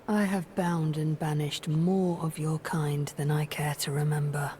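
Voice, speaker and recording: A low-voiced, cold, taunting sorceress. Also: female voice, flat delivery, a little mellow, slight background noise. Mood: disdainful. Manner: menacing, mocking, deliberate. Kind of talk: video game character voice lines.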